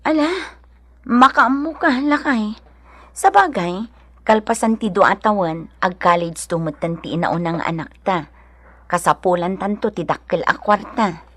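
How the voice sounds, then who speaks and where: A woman acting a kind, generous, devout wife and neighbor, gentle and obliging, in a radio drama. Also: mid-range voice, unhurried. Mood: accepting.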